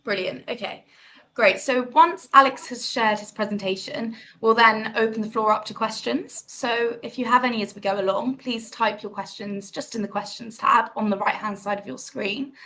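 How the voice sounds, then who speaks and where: Bright-voiced woman hosting a webinar. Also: a little high, fairly even intonation, moderate pace, a little hiss or hum, low-quality recording. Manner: polite, scripted introductions. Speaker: webinar host.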